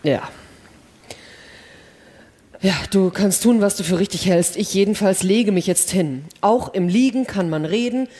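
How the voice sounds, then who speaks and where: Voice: mid-range voice, measured pace, long pauses, warm tone, lightly acted. An actor playing a resigned, rule-abiding astronaut in a science fiction radio play, terse and matter-of-fact. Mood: resigned, stubborn.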